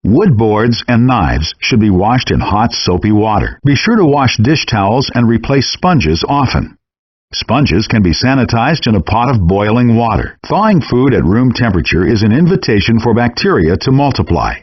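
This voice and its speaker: A man reading scripted cooking-game instructions in a measured, clearly enunciated, instructive way, in compressed audio. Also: mid-range voice, big pitch swings, no room sound, highs cut off.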